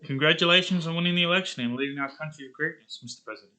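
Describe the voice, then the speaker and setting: Man acting a smug, sharp-voiced supervillain. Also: on the high side, rapid-fire, voice pushed up, rough recording. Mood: smug threat. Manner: smug, threatening. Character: scheming male supervillain threatening a president. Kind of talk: animated villain script reading.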